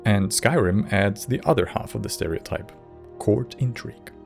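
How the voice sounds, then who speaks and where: A man reading scripted lore narration in a measured, wry, explanatory way, as a video essay narrator. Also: lowish voice, gravelly, darkish tone. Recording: music bed under narration. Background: background music.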